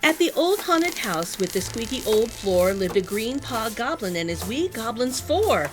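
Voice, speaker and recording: A woman reading a children's picture book aloud in an animated, sing-song storytelling manner. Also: fairly high pitch, measured pace. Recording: music playing behind the voice. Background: music.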